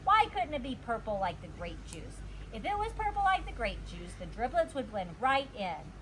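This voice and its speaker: A woman voicing an excitable, dramatic little girl in a children's storybook, with emphatic childlike delivery. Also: a little high, normal speed, a little hiss or hum, poor audio. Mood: grumbly. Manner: emphatic, childlike exclamations and grumbles. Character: excitable dramatic little girl narrating her own mishaps. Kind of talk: children's storybook read-aloud.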